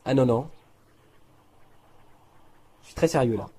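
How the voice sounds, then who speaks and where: A man's voice: mid-range voice, measured pace, background noise. A young man improvising a prank phone call, feigning seriousness in a hesitant but insistent way. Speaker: prank caller.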